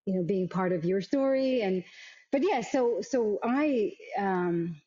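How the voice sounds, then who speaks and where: A middle-aged woman from Africa, telling her story as an interview guest in a thoughtful, halting way with many repeated words. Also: medium pitch, animated, dark tone. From South Africa. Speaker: guest, arts nonprofit vice president. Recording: dull, muffled lo-fi sound.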